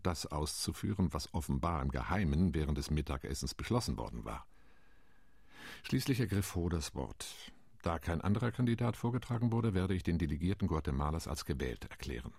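An actor playing a Latin American UN delegate recounting committee intrigue in a radio play monologue, with a measured, ironic telling. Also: male voice, rumbling low, vocal fry, darkish tone, slightly rough, dry recording, heavily acted.

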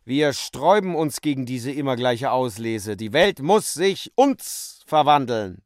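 An actor playing a fervent visionary philosopher in a radio play, with a declamatory, emphatic delivery. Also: male voice, medium pitch, lively intonation, measured pace, natural voice. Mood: fervent, exalted. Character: fervent male visionary philosopher expounding his ideas.

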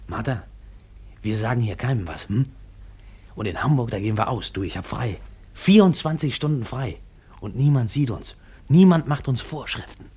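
A man's voice: mid-range voice, darkish tone, breathy edge. An actor playing a restless, cocky young railwayman in a radio drama, talking in a brash, eager, colloquial way.

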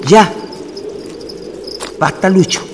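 A man's voice: high-pitched, extremely slow, background noise. A man playing a bossy rustic night traveller in a horror radio drama. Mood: firm, reassuring.

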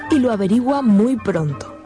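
High-voiced woman narrator over music. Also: expressive, moderate pace, mellow. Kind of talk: scripted dramatic narration.

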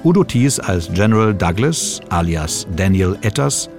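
A man's voice: low voice, big pitch swings, a little slow. A man reading scripted radio drama credits as an announcer. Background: music.

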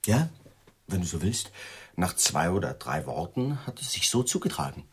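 A man playing a conciliatory, evasive friend in a radio play, hesitant and eager to smooth things over. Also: low voice, very animated, moderate pace, exaggerated voice. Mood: defensive, evasive. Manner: hesitant, placating.

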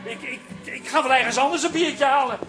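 An actor playing a fussy, easily flustered photographer in a radio comedy-drama, stammering theatrically. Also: male voice, very high voice, a little fast, music in the background. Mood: indignant. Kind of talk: naturalistic Dutch radio comedy-drama acting.

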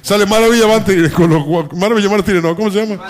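An Argentine man chats quickly as co-host of a comic radio show. His voice is on the high side, and his delivery is casual and sarcastic. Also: noisy background. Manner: joking, sarcastic. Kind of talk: casual comic political chat. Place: bar, live radio broadcast.

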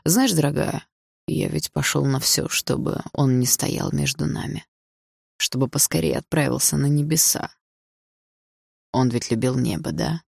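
A woman's voice: very deep voice, a little slow, creaky, a little mellow, slightly rough, no echo, clean studio sound, full character voice. A woman voicing a menacing, obsessive and smug husband with an insinuating delivery in an audiobook. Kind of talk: audiobook character dialogue.

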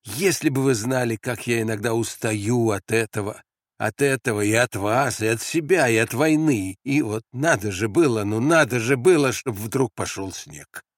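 A man's voice: medium pitch, normal speed, no room sound, clean studio sound, natural voice. A man voicing a weary, restrained foreign soldier in an audiobook, with an ironic manner. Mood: weary, exasperated.

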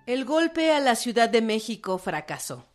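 A woman narrating a historical radio drama from a script in a formal, measured way. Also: a little high, very animated. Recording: narration over clear string music.

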